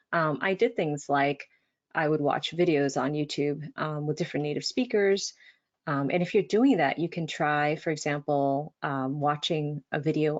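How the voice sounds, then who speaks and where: A woman's voice: sits low, lo-fi sound. A woman, a native Spanish speaker, giving a casual, encouraging language-learning webinar with many fillers. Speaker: language instructor. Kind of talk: webinar presentation.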